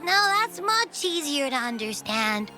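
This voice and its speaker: A woman voicing a small, blunt, childlike non-human companion in a video game, whiny and expressive. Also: high voice, a little slow, faint music behind, heavily acted. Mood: grumbling. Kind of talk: video game character dialogue.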